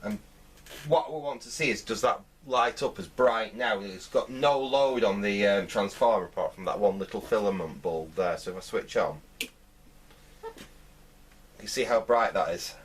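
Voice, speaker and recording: A man giving unscripted hands-on repair commentary, thinking aloud in a halting way as he works. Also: lowish voice, far from the mic, a little hiss or hum. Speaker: host of a vintage radio repair channel.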